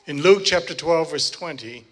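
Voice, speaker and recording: Male church interpreter with a fairly high voice, renders each phrase quickly and corrects himself as he goes. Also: a bit bright. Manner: searches for words and corrects himself mid-phrase.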